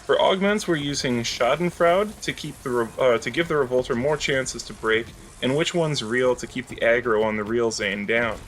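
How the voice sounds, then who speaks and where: A man's voice: medium pitch, normal speed, hardly any pauses, a bit bright, a little hiss or hum, low-quality recording. A man explaining a game build in a casual, instructional way, as a gaming video creator doing commentary.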